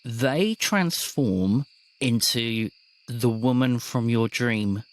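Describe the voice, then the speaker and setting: Game master: man, average-pitched voice, measured storytelling with pauses for drama. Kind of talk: narrating a tabletop roleplaying game.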